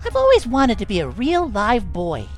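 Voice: medium pitch, huge pitch swings, moderate pace. An actor playing an eager, wholesome but slyly cheeky boy scout in a retro sci-fi radio adventure, with a gee-whiz earnest way of talking. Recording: music behind the voice.

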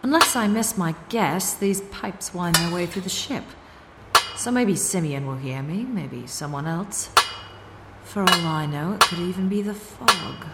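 An actress playing a plucky, sardonic sleuth in a parody radio serial, thinking aloud in a wry manner. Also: female voice, on the low side, lively intonation, unhurried. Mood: wry, musing. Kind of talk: parody old-time radio drama. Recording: very noisy, echoey, long reverb.

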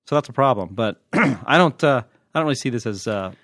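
A man's voice: mid-range voice, lively intonation, fairly quick, creaky, a bit bright, dry recording. American man in irreverent, dry-room podcast chat. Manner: irreverent, joking, digressive, mildly profane. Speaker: podcast host, TV critic. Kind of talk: casual, unscripted podcast chat.

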